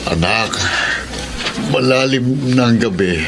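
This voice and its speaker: An actor playing a caring, poor older father who speaks gently and slowly. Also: medium pitch, vocal fry. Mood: concerned. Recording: noticeable background noise.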